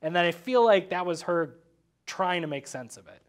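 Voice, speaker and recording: A man's voice: high-pitched, expressive, moderate pace. A man chatting casually about film as a guest, restarting his thoughts and acting out imagined quotes as he rambles. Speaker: podcast guest.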